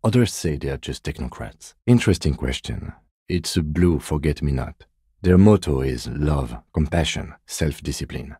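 A reserved, middle-aged male police detective from a video game. His voice is extremely deep and soft-edged, recorded dry and close. He speaks in measured, formal phrases with understated, principled restraint. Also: lively intonation, breathy edge, dry recording, full character voice. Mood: earnest, reserved. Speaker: voice actor.

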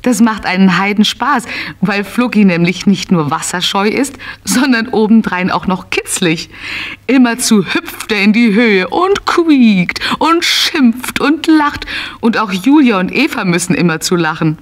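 A woman's voice: mid-range voice, animated, measured pace. A woman narrating a scripted children's story, telling it as if to small children.

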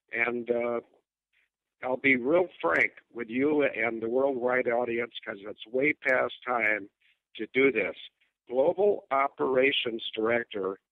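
An older man with an Upper Midwest American accent speaks over a thin, tinny cell phone line. His voice sits at an ordinary middle pitch, dry and close. He talks emphatically and deliberately, tossing in mocking asides. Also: no echo. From United States. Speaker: guest. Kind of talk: phone-in interview.

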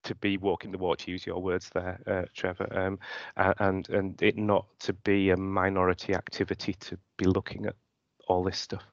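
A man's voice: sits low, completely flat delivery, normal speed, dry recording. A middle-aged man answering conference questions over a video call, hesitant and self-deprecating, with many restarts. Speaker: keynote speaker. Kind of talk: conference talk and Q&A. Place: home room on video call. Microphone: headset. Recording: muffled lo-fi video call audio.

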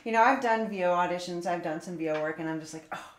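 A woman actor talking loudly and candidly in a casual roundtable, poking fun at herself. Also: on the low side, fast. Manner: loud, candid, self-deprecating. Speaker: actor on a panel. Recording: roomy, echoey room.

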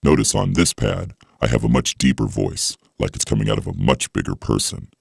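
A man speaking through a voice transformer that drops his voice into a huge, very deep giant, demonstrating a sampler. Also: animated, a little fast, creaky, slightly rough, no echo, studio quality, full character voice. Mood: deadpan. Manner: demonstrating a product. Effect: voice transformer pitched down.

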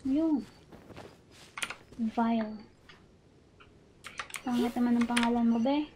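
A woman's voice: a little high, fairly even intonation, slow pace, dark tone, a little hiss or hum. A woman with a Filipino accent giving casual commentary on a game she is playing. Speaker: gaming video host. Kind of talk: casual game commentary mixing Tagalog and English. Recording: game music behind the voice. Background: game music.

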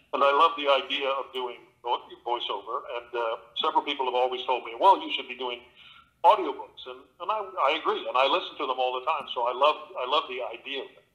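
A man's voice: mid-range voice, fast, bright, forceful tone, highs cut off. A middle-aged man, an actor and audiobook narrator, talking casually in an interview from his home studio with a theatrical, expansive manner.

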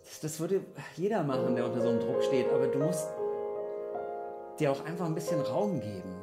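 A man's voice: mid-range voice, expressive, a little fast, loud music behind the voice, close to an everyday voice. A man playing a concerned best friend in an improvised radio play on stage, insistent and reassuring. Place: small curtained theatre stage. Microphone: stand microphone.

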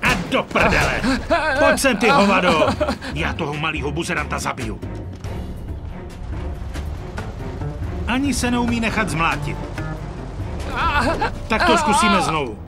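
A menacing mob enforcer in a crime game. His voice sits high and moves around a lot, coarse and short-tempered. Music plays behind him. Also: sing-song, long pauses. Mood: irritated, then furious. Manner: coarse, threatening. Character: hot-tempered foul-mouthed mobster, menacing enforcer. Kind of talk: dubbed game cutscene acting.

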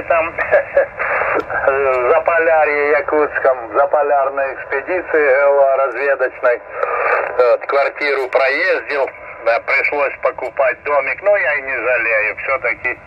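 A mature man chats over a shortwave radio. His voice is a little high and friendly, full of small hesitations. The signal is dull and band-limited, with clear noise around it. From Ukraine. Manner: chatty, hesitant fillers, friendly. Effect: shortwave radio transmission. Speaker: amateur radio operator. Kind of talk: casual ham radio chat. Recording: muffled, noisy shortwave radio reception. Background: radio static and noise.

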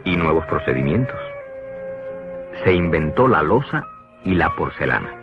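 A man's voice: extremely low pitch, big pitch swings, normal speed. A man narrating an educational cartoon from a script in a didactic, explanatory manner. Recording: dull lo-fi old cartoon soundtrack with music. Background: clear background music.

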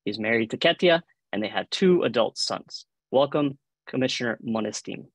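Male panel moderator, Cuban accent, mid-pitched, formal scripted delivery, dry close video-call sound. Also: expressive, moderate pace, no echo. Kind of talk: formal scripted introductions, reading biographies. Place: indoor room on a video call. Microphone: video call microphone.

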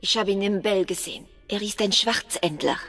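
Husky, determined resistance woman. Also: medium pitch, brisk, fairly bright tone, faint music behind. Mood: serious. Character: serious, determined young female resistance fighter. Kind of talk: video game dramatic acting.

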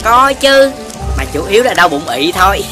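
A woman's voice: mid-range voice, sing-song, normal speed, close to an everyday voice. Casting: chubby, cheeky, greedy kid for animation played by a woman, medium register, music underneath. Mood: cheeky, blunt. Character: big-bellied, blunt, gluttonous little child. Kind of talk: children's animated cartoon voice acting.

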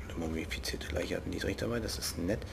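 A young man with a regional German dialect jokes and chats to himself while he plays. His voice sits fairly low, and faint game sound runs behind him. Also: fast, vocal fry, noisy background. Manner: joking, playful, talks to himself. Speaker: let's play host. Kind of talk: casual gameplay commentary.

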